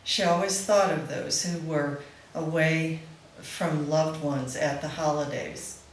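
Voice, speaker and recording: A woman's voice: sits low, fairly even intonation, takes it slowly. A woman reading a prepared eulogy aloud at a memorial celebration. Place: indoor event room with a live gathering. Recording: roomy echoing hall, faint background noise.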